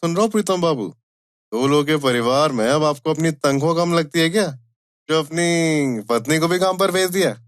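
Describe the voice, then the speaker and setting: A man playing a taunting, nosy village busybody in a Hindi animated moral story. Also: on the high side, moderate pace, no echo, studio quality, close to an everyday voice. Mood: sly, taunting. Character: taunting, nosy village accountant and mocking passerby.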